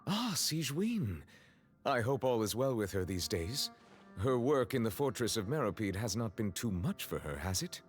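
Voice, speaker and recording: An actor playing a formal, reserved gentleman of high office in a video game, measured, stiff and courteous. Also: big pitch swings, faint music behind. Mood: awkward, polite.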